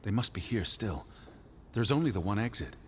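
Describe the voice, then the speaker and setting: Man playing deep-voiced, anxious, bookish 1920s gentleman. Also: lively intonation, moderate pace, a little hiss or hum, natural voice. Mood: puzzled. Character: anxious, bookish 1920s gentleman searching for his missing sister. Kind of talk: video game drama acting.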